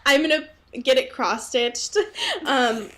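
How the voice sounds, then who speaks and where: A woman's voice: high voice, slow pace, a bit bright. A woman co-hosting a podcast, chatting casually about a book in a giggly way with playful, sarcastic asides. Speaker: podcast co-host. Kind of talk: casual book discussion chat.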